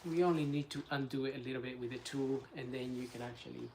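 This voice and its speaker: A man's voice: medium pitch, quick pace, gravelly, a little hiss or hum. A middle-aged man whose first language is Spanish, chatting casually to viewers as he services a car step by step. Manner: chatty, relaxed, talks to live chat viewers. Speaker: car maintenance vlogger. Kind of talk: casual how-to chat while working.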